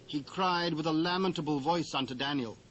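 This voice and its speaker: A British man narrating scripture from a script in a formal, measured storytelling manner. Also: on the high side, fairly bright tone, highs cut off. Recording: old cassette with faint hiss.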